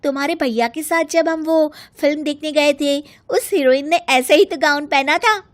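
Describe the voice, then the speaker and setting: A woman's voice: high-pitched, normal speed, pitched above the performer's own voice, lightly acted. A woman voicing a bossy, vain young fashion-obsessed daughter-in-law in a cartoon story, emphatic and pushy. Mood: persuasive.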